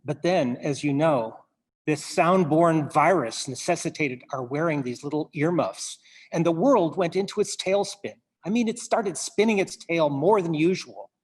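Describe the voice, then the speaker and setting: A man, a playwright, addresses the audience in a theatrical monologue, wry and halting, given to wordplay. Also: fairly high pitch.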